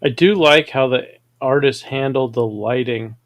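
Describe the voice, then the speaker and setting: A man with a medium-pitched voice hosts a review show. He comments in an informal, conversational style, as in a casual chat. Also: unhurried, dry recording. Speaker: host reviewing animated audio dramas.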